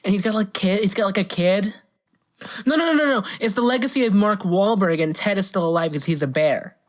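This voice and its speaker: A woman's voice: medium pitch, fairly quick. A woman co-hosting a podcast, chatting casually about movies with playful riffs. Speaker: podcast co-host.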